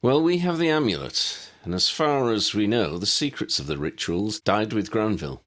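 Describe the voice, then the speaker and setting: Audiobook role: man playing plain-spoken young hero, wry, animated delivery. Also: fairly low pitch, moderate pace, voice pushed up. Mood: matter-of-fact. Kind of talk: audiobook character dialogue.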